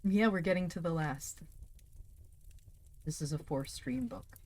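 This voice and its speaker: A woman hosting a livestream, chatting casually and calmly with her viewers as if greeting a class. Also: low-pitched, a little slow, a little hiss or hum. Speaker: livestream host reading stories aloud.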